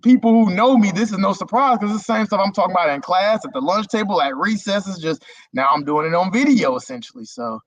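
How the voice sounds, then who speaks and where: Man, guest content creator, rambling casual talk, slightly high voice, dry lo-fi stream audio. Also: lively intonation, quick pace, dry recording. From Germany. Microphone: video call.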